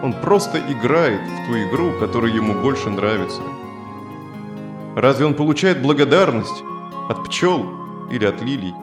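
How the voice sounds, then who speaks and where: An actor playing a stern, proud Roman soldier in a children's audio play, scornful and declamatory in manner. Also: male voice, medium pitch, expressive, normal speed, close to an everyday voice. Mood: dismissive. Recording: echoey studio with music behind. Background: music.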